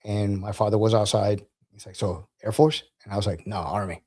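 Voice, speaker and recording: A man's voice: lowish voice, fairly even intonation, a little fast, darkish tone. A man speaking as a military veteran and podcast guest, telling personal stories in a casual, conversational way.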